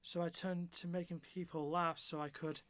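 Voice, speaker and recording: A man playing a wounded, abused circus outcast confessing haltingly in a radio drama monologue. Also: a little high, flat delivery, a bit bright, natural voice. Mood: sorrowful confession. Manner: halting, repeats words.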